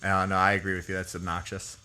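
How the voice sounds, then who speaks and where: A man co-hosting a casual gameplay show, relaxed and joking, asking his partner questions. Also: sits low, fast talker, smooth. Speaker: co-host.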